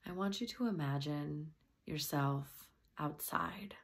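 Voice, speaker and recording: A woman softly and gently leading a guided meditation, at times slipping into a breathy near-whisper. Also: sits low, takes it slowly.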